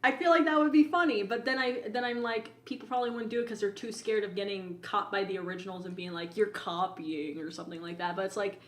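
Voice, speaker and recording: A woman's voice: medium pitch, fast talker, hardly any pauses, a bit bright. A woman co-hosting a podcast, chatting casually in an informal, opinionated, rambling way. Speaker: podcast co-host. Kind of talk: casual chat. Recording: echoey room sound.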